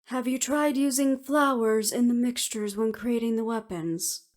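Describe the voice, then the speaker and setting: A woman's voice: on the high side, unhurried, close to an everyday voice. A woman voicing a clever, unruffled imprisoned researcher in an audio roleplay, speaking precisely and with slight superiority. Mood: proud, explanatory. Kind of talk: scripted audio roleplay acting.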